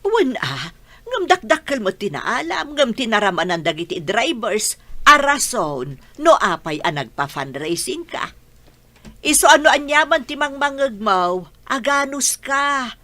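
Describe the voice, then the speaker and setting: A woman's voice: on the high side, very animated, a little slow, sharp tone, no echo, heavily acted. A woman with a Filipino Ilocano accent playing a firm, concerned middle-aged mother who admonishes her child, in radio drama style. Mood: admonishing, pleading.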